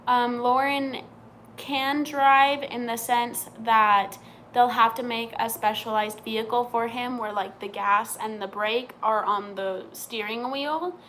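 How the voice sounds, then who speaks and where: A young woman with a slightly high voice chats on a home live stream and answers viewer questions in a relaxed, friendly way. A faint hiss of room noise sits under her voice. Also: takes it slowly. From Montana.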